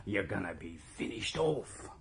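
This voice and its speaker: A man's voice: low-pitched, big pitch swings, a little slow, vocal fry, heavily acted. An actor playing a homely, plain-spoken working-class English father talking to his young son in a radio drama. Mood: proud, coaxing.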